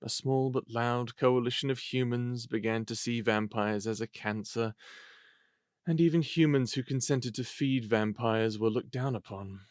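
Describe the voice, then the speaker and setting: An actor playing a wry, unflappable human man with ancient memories in an audio drama, speaking in a measured, explanatory way. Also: mid-range voice, fairly even intonation, dry recording, studio quality, natural voice. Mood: grave recounting.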